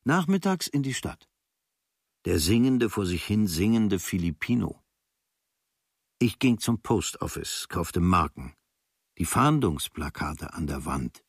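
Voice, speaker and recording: A man reads a literary travel diary for radio as scripted narration, measured and in short, clipped phrases. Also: deep voice, very animated, husky, no room sound, studio quality. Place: dry studio.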